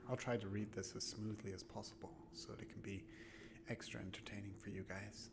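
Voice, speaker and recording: A man's voice: lowish voice, fairly even intonation, fairly quick, gravelly, very noisy. Man chatting casually on a livestream. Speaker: live streamer and host. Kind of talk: casual livestream chat to viewers. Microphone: new close microphone, no webcam. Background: background music.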